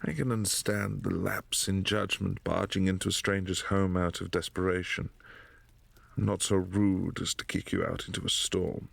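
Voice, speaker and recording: A man playing a possessive, imperious vampire prince in a boyfriend ASMR roleplay, speaking in a formal, commanding, softly menacing way. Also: low-pitched, animated, moderate pace, vocal fry, slightly rough, no echo, heavily acted. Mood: cool, imperious.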